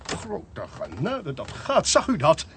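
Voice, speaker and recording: An actor playing a self-assured, demanding investigator in a radio drama, formal and wry, over a noisy recording. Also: male voice, fairly high pitch, wildly swooping pitch, slow, heavily acted. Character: smooth, self-assured, demanding male investigator with technical gadgets.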